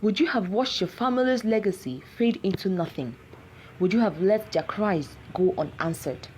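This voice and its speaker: A woman's voice: medium pitch, moderate pace, darkish tone, a little hiss or hum, close to an everyday voice, low-quality recording. A woman voicing an anguished, vengeful cursed village man in a folktale, grave, dramatic and pleading.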